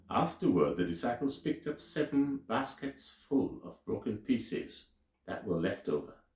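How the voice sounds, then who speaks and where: A man reading scripture aloud as a teacher, in a solemn, deliberate and emphatic way with dramatic pauses. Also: deep voice, darkish tone. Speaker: Bible reader and teacher. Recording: roomy, echoing sound.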